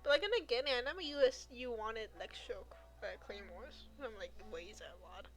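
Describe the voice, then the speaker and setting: A woman's voice: a little high, brisk, faint music behind. A woman game streamer chatting casually in a giggly, rambling way. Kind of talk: casual chat while live streaming.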